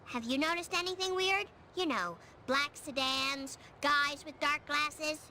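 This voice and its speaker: A woman voicing an earnest, determined, bright young girl in a cartoon game. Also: high-pitched, measured pace, a little hiss or hum. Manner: earnest, exclamatory. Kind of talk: animated sitcom game cutscene.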